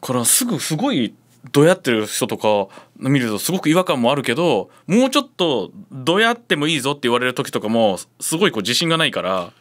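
A man's voice: medium pitch, big pitch swings, fast talker, fairly bright tone. A man hosting a casual radio interview in an informal, chatty way. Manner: informal, chatty, frequent backchannels. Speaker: radio host.

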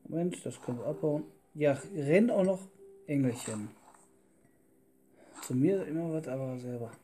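A man's voice: a little high, moderate pace, dark tone, slight background noise. A man chatting casually and teasingly as he plays a game as a let's player. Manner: jokey, teasing. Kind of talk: casual gameplay chat. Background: music.

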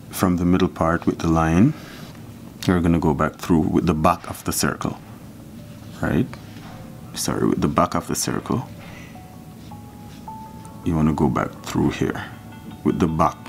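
Deep-voiced man teaching over music. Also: gravelly. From Jamaica. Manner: relaxed, step-by-step instruction with counting. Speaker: fishing channel host. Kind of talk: casual hands-on tutorial.

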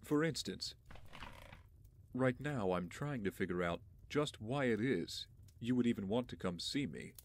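A man playing an aloof, wry young billionaire in an audio drama, speaking in a dry, measured, haughty way. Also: mid-range voice, lively intonation. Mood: guarded, dry.

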